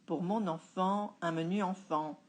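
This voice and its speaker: A woman reading a storybook, voicing a stubborn father who grows indignant and shouts at a waitress. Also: lowish voice, fairly even intonation, measured pace, natural voice. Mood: sour, deliberate. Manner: indignant, repeats his pointed questions. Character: exasperated dad, stubborn and quick to shout.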